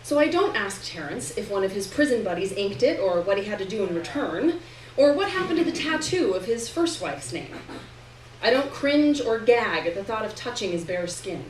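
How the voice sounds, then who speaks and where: A woman's voice: mid-range voice, sing-song, normal speed. Woman reading fiction aloud in an echoey room. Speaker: reader performing a novel chapter to an audience. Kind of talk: expressive literary reading, first-person narration. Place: live reading event in a room. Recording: roomy, distant mic, faint noise.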